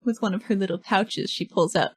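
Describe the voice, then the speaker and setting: A woman's voice: medium pitch, fairly quick, breathy edge, dry recording. A woman playing a tabletop roleplaying game, chatting casually about her moves and rolls.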